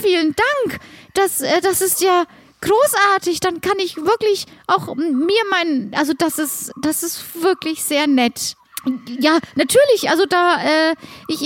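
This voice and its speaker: Audio-play shopkeeper acted by a woman: timid, very high-pitched, courteous, stumbles over words, easily touched. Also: dry recording. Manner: flustered, stammering, polite. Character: Beate, timid, easily moved female shopkeeper. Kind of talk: improvised audio drama.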